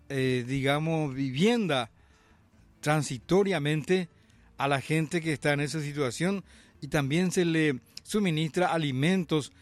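A man hosting a radio show, reading the news in a fairly high voice and talking it through with callers. He explains things at a measured pace and often adds little tag questions. Faint music plays behind him. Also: no room sound. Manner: measured, explanatory, with many "¿verdad?" tags. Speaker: radio host.

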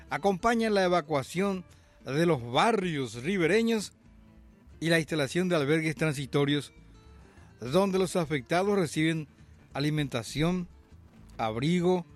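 A man hosting a radio show, reading the news and chatting with callers in a measured, explanatory way with many tag questions. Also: fairly high pitch. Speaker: radio host.

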